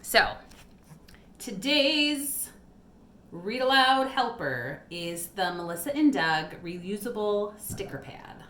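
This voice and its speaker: A woman with a bright, forward voice at an average pitch talks casually to fellow parents. She hosts a homeschool vlog and gives recommendations in an encouraging way. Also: very animated, slow, slight background noise. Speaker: homeschool video host and parent.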